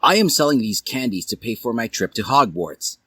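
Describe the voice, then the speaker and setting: Awkward lovestruck boy, story reading, done by a man: shy, halting, husky, lightly raised. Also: mid-range voice, lively intonation, sharp tone. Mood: plain. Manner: flustered, halting.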